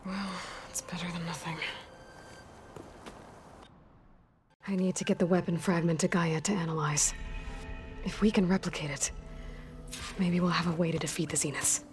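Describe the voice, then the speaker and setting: A woman's voice: fairly low pitch, steady tone, fairly quick, lightly acted. An actress playing a determined, pragmatic young female warrior in a video game. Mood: frustrated, musing. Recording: loud music behind the voice.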